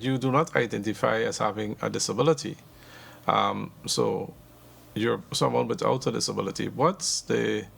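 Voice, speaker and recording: A man hosting an interview, asking measured questions and rephrasing them mid-sentence. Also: fairly low pitch, creaky, a bit bright, husky. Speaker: talk show host.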